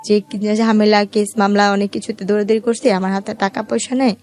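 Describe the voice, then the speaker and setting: A woman's voice: mid-range voice, level tone, brisk, darkish tone, soft background music. A woman with a Bangladeshi accent telling her own life story as an interview guest, in colloquial, conversational speech.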